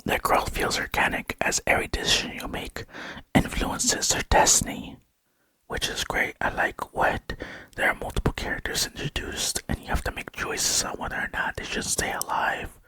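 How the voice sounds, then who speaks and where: A man delivers ASMR gaming narration entirely in a breathy whisper. The sound is bright and very dry, as if right at the microphone. His delivery is soft and measured, like a script read with a casual touch. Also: no room sound. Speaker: gaming video host. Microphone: close microphone, dry sound.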